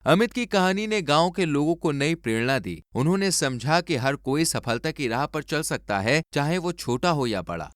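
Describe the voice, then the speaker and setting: A man's voice: fairly high pitch, expressive, normal speed, hardly any pauses, dry recording, clean studio sound. A man reading a scripted moral story as a storyteller.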